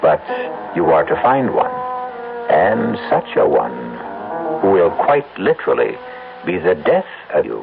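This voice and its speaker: A man's voice: low voice, wildly swooping pitch, measured pace, creaky, music in the background. A man narrating and hosting a 1970s radio mystery drama in a theatrical, ominous way. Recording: tinny lo-fi old radio broadcast.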